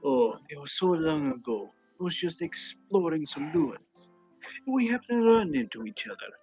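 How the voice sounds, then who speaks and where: A self-righteous alchemist from a game fan dub, voiced by a man, teasing a companion in a dry manner. The voice is somewhat high and husky. The sound is dull, with faint music behind. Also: very animated, normal speed, darkish tone. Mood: matter-of-fact. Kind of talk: amateur live fan dub of a video game. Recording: dull, lo-fi voice audio.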